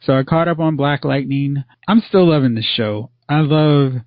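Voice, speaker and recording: A man hosts a casual movie chat, his voice gravelly and recorded dry and close. The audio is a little dull and lo-fi. He tends to ask long, winding questions. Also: a little high, normal speed, no echo. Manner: poses long rambling questions. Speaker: podcast host.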